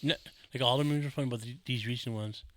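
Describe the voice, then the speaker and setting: A man's voice: medium pitch, steady tone, fast, a little hiss or hum. A young man chats easily on a casual podcast. He is laid-back and teasing. He often starts his lines with "yo.". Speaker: podcast co-host. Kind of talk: casual banter.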